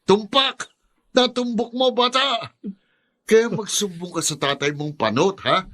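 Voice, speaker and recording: A man's voice: high voice, a little slow, fairly bright tone. An actor playing a brash, taunting male villain in a radio drama. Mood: gloating. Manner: taunting, cackling, sneering. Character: mocking scheming male lover, brash villain.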